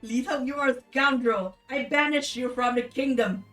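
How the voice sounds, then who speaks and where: A woman's voice: high voice, normal speed, a bit bright, roomy sound, recorded from a distance, soft background music, pitched above the performer's own voice. Storybook king, stern and declamatory, a royal father handing down judgment played by a woman. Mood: stern, outraged. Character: elated king, stern father banishing a scoundrel.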